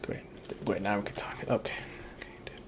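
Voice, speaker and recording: A man's voice: brisk, in a whisper. A man giving casual gameplay commentary, muttering to himself and swearing freely. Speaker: video game player.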